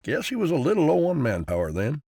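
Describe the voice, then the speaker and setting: A gravelly, grumbling ranch hand. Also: male voice, on the high side, huge pitch swings, fast talker, mellow, dry recording, studio quality, heavily acted. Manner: grumbling, world-weary. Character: gruff male ranch hand, grumbling and wary. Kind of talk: video game voice lines.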